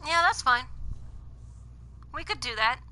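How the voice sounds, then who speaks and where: Slow-talking, bright-voiced woman streamer. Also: sits high, lively intonation, slight background noise. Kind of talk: casual chat while gaming.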